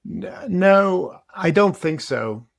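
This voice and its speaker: A middle-aged man with a British accent, a podcast guest telling his story in a reflective, measured way. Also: high voice, expressive, gravelly. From Britain. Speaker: guest, company CEO and author. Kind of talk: interview conversation.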